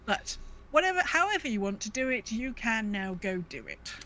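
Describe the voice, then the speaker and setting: A woman's voice: on the high side, big pitch swings, normal speed, pushed and bright, slight background noise. A British woman chatting casually as a podcast co-host. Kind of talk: casual chat.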